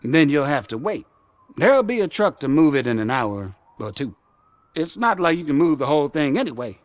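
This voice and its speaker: A man's voice: a little high, very animated, a little fast, no room sound. An actor playing a sarcastic, coarse working-class city man in a video game, talking in colloquial wisecracks. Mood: wry, skeptical. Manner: wisecracking, colloquial, mildly profane.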